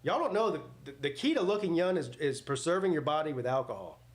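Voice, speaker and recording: Guy in his 40s on a sports watch party stream, slightly high voice, blunt and jokey, rambles between calls. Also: sing-song, quick pace. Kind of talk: casual livestream chat with play-by-play commentary.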